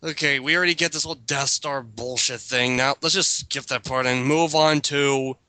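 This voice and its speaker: A man's voice: mid-range voice, fairly even intonation, moderate pace, bright, forceful tone, no echo. A man reading aloud and riffing casually with others, exasperated and tripping over his words. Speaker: group reader mocking a written character bio.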